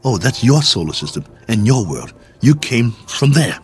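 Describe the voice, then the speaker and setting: An actor playing a worn-out, injured and lonely crash-landed space colonel in a radio drama, talking in halting, emphatic bursts. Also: male voice, mid-range voice, big pitch swings, faint music behind, natural voice. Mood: earnest, explaining. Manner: halting, repetitive, emphatic pleading and musing.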